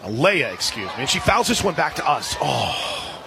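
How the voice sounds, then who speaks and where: A man calling softball play-by-play on the radio, dropping into casual banter between pitches. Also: very animated, normal speed, gravelly. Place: outdoor softball stadium broadcast position. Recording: outdoor stadium crowd and ambient noise. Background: crowd noise.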